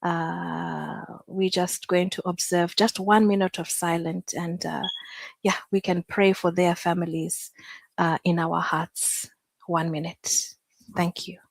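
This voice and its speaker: A woman's voice: on the low side, level tone, takes it slowly, creaky, no room sound. A middle-aged woman with a Zimbabwean accent hosting a casual live talk show, speaking hesitantly and repeating words for emphasis. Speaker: host.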